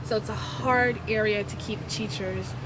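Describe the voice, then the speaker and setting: A woman in her thirties, originally from Guyana, a high school teacher answering street interview questions in a relaxed, frank, conversational way. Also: moderate pace. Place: outdoors on a city street. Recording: loud music and traffic behind.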